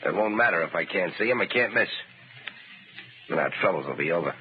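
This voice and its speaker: An actor playing a curt, menacing American gangster boss who barks slangy orders in 1930s radio style. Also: male voice, low voice, expressive, fairly quick. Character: hard-boiled gangster boss, menacing, bossy and impatient. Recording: scratchy, noisy, narrow-band 1930s radio.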